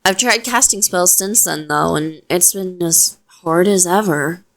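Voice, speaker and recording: A woman playing a protective, self-deprecating young witch in an audio roleplay, talking softly and reassuringly to the listener. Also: fairly low pitch, unhurried, slight background noise. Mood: sheepish, self-deprecating.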